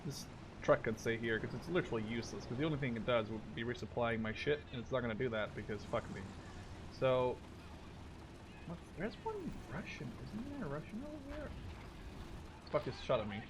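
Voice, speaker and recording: A man's voice: mid-range voice, expressive, fairly quick, noisy background. A man in his twenties from the Netherlands giving casual, rambling let's-play commentary, thinking aloud and swearing offhandedly. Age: 20s. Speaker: gaming YouTuber.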